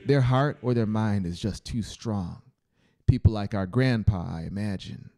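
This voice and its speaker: A man voicing a blunt, resolute male cousin in an audiobook, explaining things in a flat, matter-of-fact way. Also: fairly low pitch, unhurried, soft background music.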